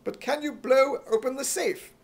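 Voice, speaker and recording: A man voicing an earnest, excitable young hero in an imitation British accent for radio comedy. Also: extremely high pitch, moderate pace, gravelly, exaggerated voice. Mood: urgent.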